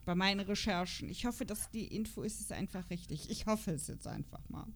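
A woman's voice: mid-range voice, lively intonation, a little fast, creaky, a little hiss or hum. A woman with a German accent co-hosting a podcast in a casual, chatty and colloquial way. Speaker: podcast co-host.

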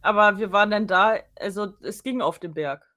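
Woman with mid-pitched voice, chatting informally. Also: normal speed, sharp tone. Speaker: podcast co-host. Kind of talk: casual chat.

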